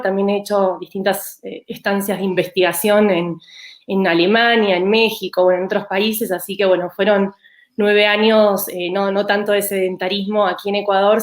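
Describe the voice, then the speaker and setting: An Argentine woman with a rioplatense accent speaks as a guest academic, at a middle pitch. She answers thoughtfully, restarting phrases and filling pauses with "eh". The room around her adds a noticeable echo. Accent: Argentine Spanish (rioplatense). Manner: thoughtful, with hesitant restarts and "eh" fillers. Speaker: political scientist guest. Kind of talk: interview answers.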